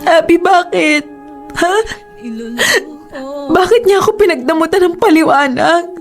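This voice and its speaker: A jilted girlfriend in a Filipino radio drama, her voice very high. She is wounded, disbelieving and pleading, with a Filipino accent, and music plays underneath her. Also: measured pace. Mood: heartbroken, tearful.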